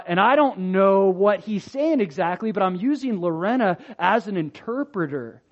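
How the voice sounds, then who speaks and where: A man preaching a sermon in an earnest voice, dropping soft and slow for his main points and rising loud when he reads scripture or acts out a doubting believer. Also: high-pitched. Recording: dull lo-fi sound.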